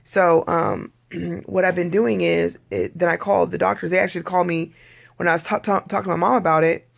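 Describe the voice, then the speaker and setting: An adult vlogger with a hoarse voice, talking in a rambling, candid video diary and clearing their throat often. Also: medium pitch, fairly quick.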